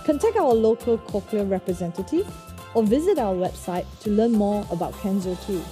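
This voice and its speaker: A woman with a very soft, dark tone and medium pitch gives a scripted company presentation, with faint music underneath. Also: expressive, moderate pace. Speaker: company presenter at product launch.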